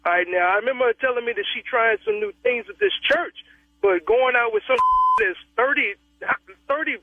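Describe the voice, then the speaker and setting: Man in his thirties, high voice, heated and protective, stammers and repeats himself, heard over a dry tinny phone line. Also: big pitch swings, brisk, dry recording. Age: 30s. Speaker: prank call target.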